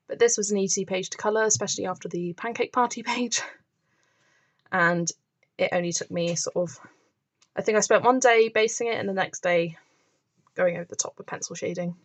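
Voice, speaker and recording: A woman hosting a colouring channel, chatting enthusiastically through her finished pages while filming the books from above at a table, her voice starting to crack. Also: on the low side. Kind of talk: casual show-and-tell narration.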